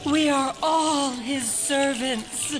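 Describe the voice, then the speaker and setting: Creepy fanatic cult lady, really slow n taunting, highish voice, loud drama music behind. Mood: sinister, taunting. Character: menacing fanatical female cultist killer, devoted to a god. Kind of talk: audio drama acting. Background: loud suspense music.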